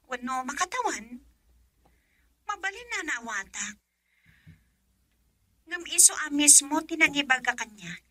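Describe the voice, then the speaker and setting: Isang babaeng gumaganap bilang anak na dalagang nasasaktan sa pag-ibig, na may punto ng Ilocano, sa isang radio drama. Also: female voice, high voice, heavily acted. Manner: emotional, shifts from soft sighs to loud pleading. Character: young woman torn over love, daughter.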